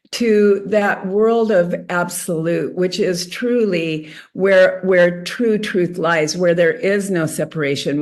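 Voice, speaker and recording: Earnest, enthusiastic woman interview guest with a fairly low voice. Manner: earnest, enthusiastic, spiritual speaker. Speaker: interview guest, passion and self-love teacher. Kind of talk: online interview. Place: remote video call.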